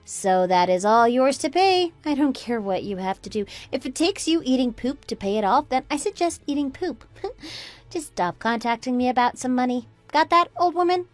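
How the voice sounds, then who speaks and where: A woman's voice: high-pitched, animated, moderate pace. A woman playing a fed-up, sarcastic daughter-in-law in a melodramatic radio drama. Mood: mocking, dismissive. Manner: sarcastic, taunting. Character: scornful, fed-up adult daughter-in-law, Anna, defiant and mocking. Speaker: voice actor in an online radio drama.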